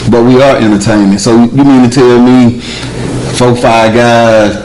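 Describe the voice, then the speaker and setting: An animated man, arguing his points in a relaxed group conversation. His voice sits at an ordinary middle pitch and climbs when he presses a point. There is a faint hiss in the background. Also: measured pace, poor audio. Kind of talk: casual discussion.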